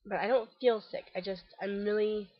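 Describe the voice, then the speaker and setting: A woman's voice: medium pitch, fairly even intonation, moderate pace, husky, no echo. Casual vlogger, woman, congested and stuffy, chatty, dry and dull home-camera sound. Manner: chatty and rambling, frequent ums and restarts. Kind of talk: casual video diary update. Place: covered back deck outdoors.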